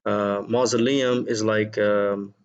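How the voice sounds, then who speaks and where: A male teacher giving a recorded vocabulary lesson to his students, gentle and encouraging, pausing often with ums and repeated words. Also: on the low side, steady tone, clear, resonant tone, lo-fi sound.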